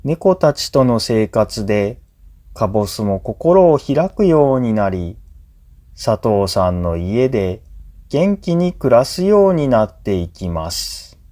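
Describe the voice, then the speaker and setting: A man's voice: mid-range voice, big pitch swings, takes it slowly, mellow. A man reads a scripted story for language learners as a teacher, enunciating carefully in short, separated phrases. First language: Japanese.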